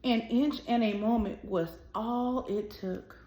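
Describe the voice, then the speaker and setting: A woman hosting a children's read-aloud, speaking deliberately and directly to young listeners as she reads a storybook. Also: fairly high pitch, steady tone, warm tone. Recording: roomy, echoing sound.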